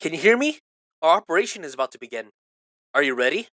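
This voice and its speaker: A man's voice: fairly high pitch, big pitch swings, fast talker, a bit bright, no echo, studio quality, faint music behind. A man playing an earnest young mage hero in a game fandub, careful and instructive. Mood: earnest, focused. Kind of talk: anime game fandub acting.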